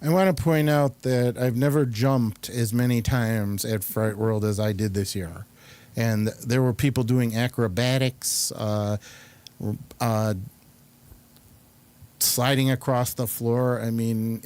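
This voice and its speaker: Casual male show host, halting delivery. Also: medium pitch, steady tone, a little mellow. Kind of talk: casual studio chat and commentary.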